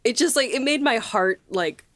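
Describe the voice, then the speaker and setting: A woman hosting a casual behind-the-scenes podcast chat, speaking effusively and quick to laugh. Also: fairly high pitch, expressive, dry recording. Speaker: podcast host and audio drama writer.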